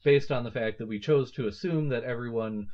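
A man's voice: medium pitch, brisk, a little mellow. A man in his thirties hosting a casual book-discussion podcast, thinking aloud with many fillers and restarts. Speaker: podcast host. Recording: slightly muffled lo-fi audio.

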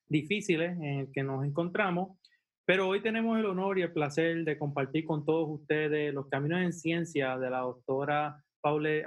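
A man's voice: on the high side, distant mic. A man co-hosting an interview podcast who introduces the guest eagerly, often adding "eh" and "¿verdad?". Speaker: co-host.

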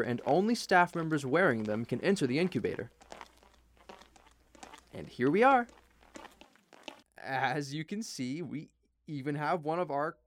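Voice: mid-range voice, natural voice. An actor playing a courteous, officious hatchery tour guide in an audio drama, explaining things with customer-service politeness.

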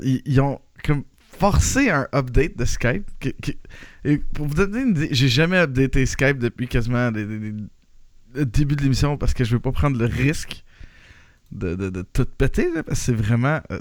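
A man's voice: medium pitch, dry recording, clean studio sound. A man in his thirties with a Quebec French accent hosting a casual podcast, rambling with restarts and laughs. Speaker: podcast host.

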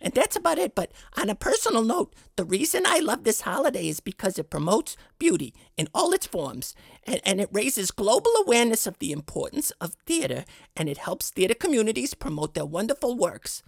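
A man's voice: high-pitched, big pitch swings, brisk, gravelly, few pauses, no echo, studio quality, exaggerated voice. A man playing an earnest, theatrical middle-aged dad presenting a podcast segment in a dramatic, effusive way.

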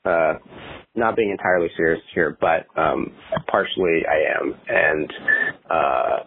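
A man's voice: low voice, unhurried, husky. A man in his twenties talking casually as an interview guest, rambling with many fillers and restarts. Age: 20s. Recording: tinny phone-like audio.

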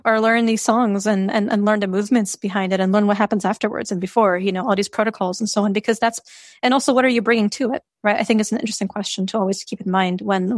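A woman's voice: mid-range voice, no echo. Serbian-born woman, musing and casual, dry room. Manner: thoughtful, many fillers and restarts, laughs easily. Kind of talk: casual discussion.

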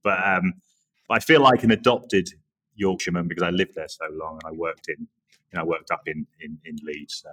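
An Englishman in his forties from the Norwich area, chatting as an interview guest in a relaxed, rambling way with many restarts. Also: low voice, fairly quick. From England, Norwich area. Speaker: guest, creative director. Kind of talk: casual interview chat.

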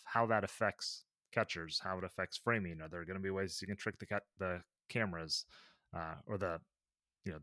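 Podcast co-host, man, lowish voice, dry close sound, relaxed chat. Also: no room sound. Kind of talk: casual chat.

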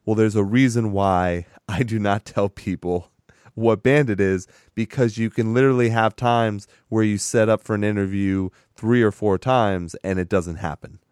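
A man hosting a casual podcast chat with a hoarse, raspy voice that is strained from sickness; he talks in a chatty, rambling way and laughs often. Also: fairly low pitch, fairly even intonation, moderate pace, a little mellow, dry recording, clean studio sound. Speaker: podcast host.

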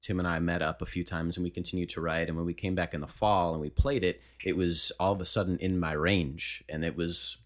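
A young man with a very low baritone voice, telling a story in a casual podcast chat. He speaks in a measured, anecdotal way. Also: little pitch movement, a bit bright. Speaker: band singer on a podcast.

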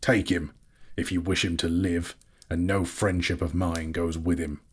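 A man voicing a proud, bitter dwarf king in a dramatic storybook reading, gruff and imperious, declaiming slowly and scornfully. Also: low voice, little pitch movement. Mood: grim, bitter.

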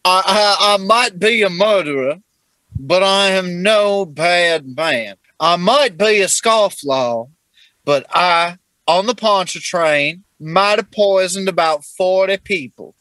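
A man voicing a lewd, old-fashioned Southern gentleman with a florid, courtly drawl in an improvised comedy role-play. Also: sits high, slow, no echo, full character voice. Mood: grandiose.

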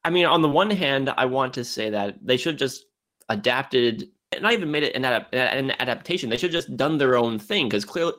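A man's voice: mid-range voice, fairly bright tone. A man hosting a podcast in casual film discussion, giving his opinions with emphasis. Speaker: podcast host.

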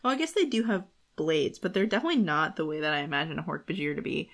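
A woman co-hosting a podcast, chatting casually about a book in an animated, colloquial way. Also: sits low, fast. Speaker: podcast co-host. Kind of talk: casual book discussion chat.

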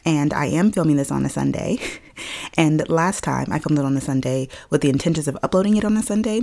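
A young woman with a low, gravelly voice chats through her podcast, raspy and a little scratchy today. She talks casually and loosely, laughing often and filling pauses with "like" and "um.". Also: mellow. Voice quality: raspy, slightly deeper than usual. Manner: chatty, laughs often, uses fillers like "like" and "um". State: scratchy throat. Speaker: podcast host. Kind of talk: casual solo podcast chat. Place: at home, filming herself.

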